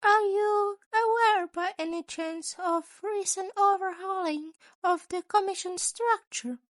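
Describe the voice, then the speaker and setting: Woman doing a tiny animal principal guy, super high voice, wordy and formal, sly gleeful plotter, audiobook fanfic. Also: unhurried, no echo, clean studio sound. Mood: polite, gleeful. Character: small male animal school principal, gleeful, cunning, formal schemer. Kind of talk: acted dialogue in a fan fiction reading.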